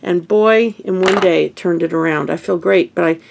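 Woman, sniffly and gravelly, casual home craft host, chatty and self-correcting. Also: lowish voice, normal speed, a little mellow, slight background noise. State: sniffly, stuffy nose. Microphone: camera over hands on table.

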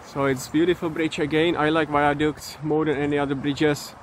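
Non-native English man in his 30s, hesitant walking-vlog host, slightly high voice, phone at arm's length, wind and stream noise behind. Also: flat delivery. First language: not English. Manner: hesitant, many fillers, halting pronunciation. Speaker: vlogger. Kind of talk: casual walking vlog commentary. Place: outdoors in countryside by a river. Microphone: phone held at arm's length.

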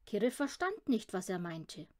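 A woman reading a children's audiobook with expressive storytelling narration. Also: medium pitch, measured pace. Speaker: audiobook reader.

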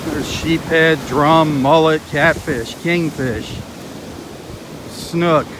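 A man's voice: a little high, extremely slow. A man hosting a live walking video on a beach, talking in an earnest, dismayed way as he goes. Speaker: beach walk video host. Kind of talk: live walking vlog commentary. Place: outdoors on a windy beach by the surf. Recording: wind and surf noise on the mic. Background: crashing waves and wind.

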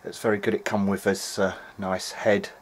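A man's voice: fairly low pitch, little pitch movement, moderate pace, breathy edge. A middle-aged man talking through a repair in a hesitant, meandering, matter-of-fact way, as in a video diary. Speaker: electronics repair hobbyist. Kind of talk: video diary, thinking aloud while working. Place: indoor workbench.

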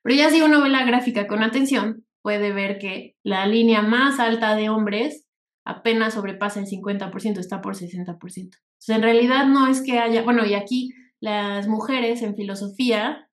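A woman's voice: medium pitch, a little fast. A woman with a Mexican Spanish accent giving an informal, explanatory lecture over slides, conversational and often checking in with rhetorical questions. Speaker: workshop instructor. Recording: echoey room sound.

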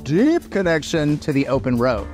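A man's voice: a little high, sing-song, moderate pace, a little mellow. Man in his 40s, campy teasing travel host, music under him. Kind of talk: playful scripted banter.

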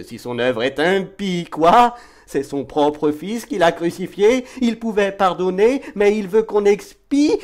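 A man reciting poetry in a dramatic, declamatory and impassioned way, swelling from hushed to forceful phrases. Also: medium pitch. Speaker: poetry reader.

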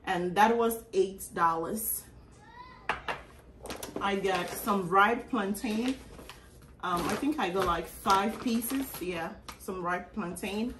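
A young Ghanaian woman talks her way through a grocery haul in a relaxed, chatty vlog voice, peppered with ums. She sits across the table from the camera, so the sound carries a roomy echo and some distance, over a faint hiss. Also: mid-range voice, slow pace. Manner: chatty, animated, many um fillers. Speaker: vlogger. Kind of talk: casual grocery haul chat. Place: small indoor room at a table.

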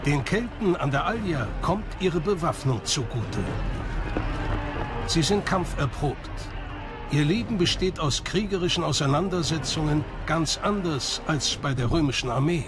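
A man's voice: a little high, lively intonation, measured pace. A man reading scripted narration as the narrator of a documentary. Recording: music behind the voice. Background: background music.

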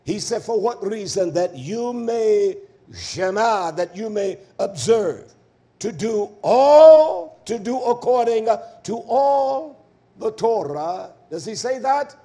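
Really high pitched guy preaching hard, stern fiery bible teacher, repeats words for punch, combative. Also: animated, slow, warm tone. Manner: emphatic, repeating key words for force. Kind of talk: fiery sermon with verse-by-verse exposition.

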